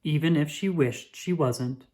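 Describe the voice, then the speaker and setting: A woman reading a children's picture book aloud with expressive, drawn-out emphasis. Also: low voice. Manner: animated, dramatic emphasis with drawn-out words.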